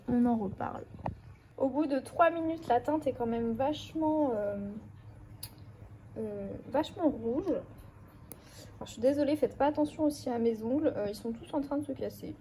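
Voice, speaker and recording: A woman with a cold talks casually to her viewers, her voice hoarse and stuffy. It sits fairly high yet sounds soft and mellow, and she rambles with frequent hesitations. A faint hum of ambient noise sits behind her. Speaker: book vlogger. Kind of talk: casual chatty vlog.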